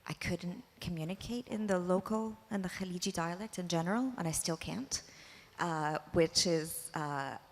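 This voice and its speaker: A woman's voice: on the low side, normal speed, hardly any pauses. A woman filmmaker on a panel, talking hesitantly with frequent fillers. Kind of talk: panel discussion answer. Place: museum panel stage. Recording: long hall reverb.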